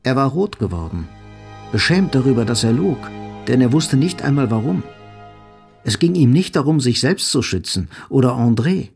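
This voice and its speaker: A man's voice: mid-range voice, very animated, moderate pace, vocal fry, a little mellow. A man reading scripted literary narration as the sober narrator of a crime audio drama. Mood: reflective. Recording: faint music behind narration.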